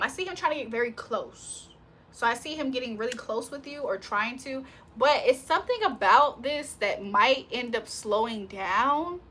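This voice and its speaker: Brief: woman, livestream tarot reader, chatty and slangy, bright voice pitched a bit high. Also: slight background noise. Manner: chatty, reads viewer comments aloud, slangy. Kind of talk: casual livestream chat.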